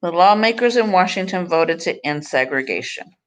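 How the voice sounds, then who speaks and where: A woman's voice: fairly low pitch, lively intonation, moderate pace, rough recording. Woman teacher reading a storybook aloud. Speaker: teacher reading a picture book aloud to students.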